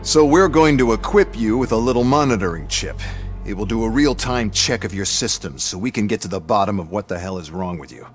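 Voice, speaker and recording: A man's voice: lowish voice, expressive, fairly quick, soft background music, natural voice. A man playing a smug, contemptuous corporate type in a video game, talking with sarcastic, condescending sneer. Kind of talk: video game cutscene acting.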